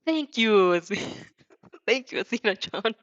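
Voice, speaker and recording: A woman voicing an earnest, hyper-energetic young boy in a story, formal and emphatic. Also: medium pitch, slow, no room sound, highs cut off. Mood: earnest, determined.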